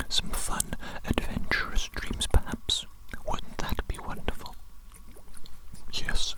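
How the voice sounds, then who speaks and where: A woman doing a whispered ASMR roleplay as a smug, doting evil genius doctor, breathy and soothing, often repeating her phrases. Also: low-quality recording. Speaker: ASMR performer.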